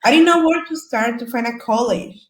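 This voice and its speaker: Young woman panelist with a Salvadoran accent, a native Spanish speaker who searches for words as she tells her story; roomy echo. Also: on the high side. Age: young adult. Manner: halting, searching for words in English. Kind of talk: personal story in a panel discussion.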